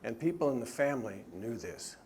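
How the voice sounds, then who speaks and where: A man's voice: medium pitch, very animated, brisk, a little mellow, a little hiss or hum. A middle-aged man, the author, gives an earnest talk at a church and answers questions, often stopping and restarting. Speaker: author and speaker.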